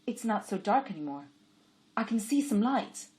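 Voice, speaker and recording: A woman voicing an impetuous, lovestruck young man who talks loudly and urgently. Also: medium pitch, very animated, moderate pace, pitched above the performer's own voice, close to an everyday voice. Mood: earnest. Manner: loud, urgent calling.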